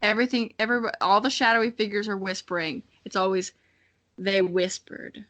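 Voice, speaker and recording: A young woman with a bright, forward voice co-hosts a podcast. She talks in a casual, chatty way and is self-deprecating and giggly, with a loose tongue for swearing. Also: medium pitch, flat delivery, a little fast, dull audio. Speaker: podcast co-host. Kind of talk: casual chat.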